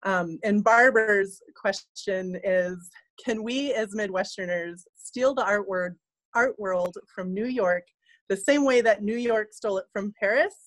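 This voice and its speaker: A woman's voice: mid-range voice, rough recording. A woman in her forties moderating an online talk from an office, reading out questions with some fillers. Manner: measured, reads questions aloud with fillers. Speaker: interviewer, art center host. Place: office with bookshelves. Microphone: laptop webcam, video call.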